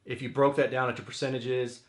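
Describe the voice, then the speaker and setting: A man's voice: mid-range voice, little pitch movement, a little fast. Casual male audio reviewer explaining gear. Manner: conversational, technical explaining with hedged guesses. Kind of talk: casual explanatory product commentary.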